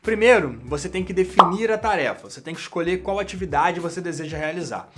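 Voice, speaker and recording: High-voiced Brazilian man explaining. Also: expressive, quick pace, pushed and bright, soft background music. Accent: Brazilian Portuguese. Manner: direct, addresses the viewer as "you". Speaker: career channel host. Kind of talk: scripted explainer to camera.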